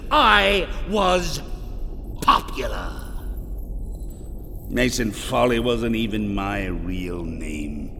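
Radio play: a man voicing a grave, tormented writer's ghost, slow and theatrical, with a long hall echo. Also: lowish voice, animated, gravelly, full character voice. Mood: bitter. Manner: slow, solemn and theatrical, swinging from loud outbursts to hushed whispers. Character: doomed ghost of a male writer, mournful and grave. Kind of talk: radio drama acting. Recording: long hall reverb, faint noise.

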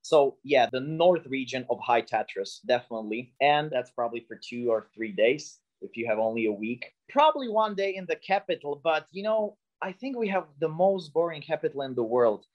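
A man's voice: medium pitch, normal speed, hardly any pauses, lo-fi sound. A young man from Slovakia, a comedy creator, chatting as a podcast guest and telling stories with jokes. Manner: joking, animated storyteller. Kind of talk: casual podcast conversation.

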